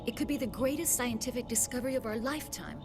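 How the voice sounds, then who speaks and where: A woman playing an earnest, composed scientist in a video game, speaking in a measured, articulate way. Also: on the high side, lightly acted. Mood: awed. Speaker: scientist in charge of an excavation. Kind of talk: dramatic video game dialogue. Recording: loud game music under dialogue. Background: music.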